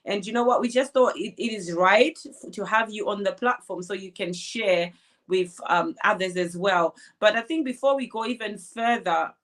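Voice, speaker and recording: A woman's voice: medium pitch, normal speed, fairly bright tone. Cheerful female co-host of a live show. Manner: cheerful and encouraging, chatty. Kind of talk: live online talk show hosting.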